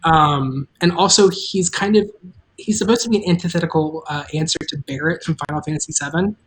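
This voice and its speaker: The show's creator hosts a live stream in a mid-pitched voice. The talk is casual and unscripted, moving in halting phrases with offhand asides. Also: a little hiss or hum, poor audio. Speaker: show creator and table read host. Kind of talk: casual, unscripted talk about making a show.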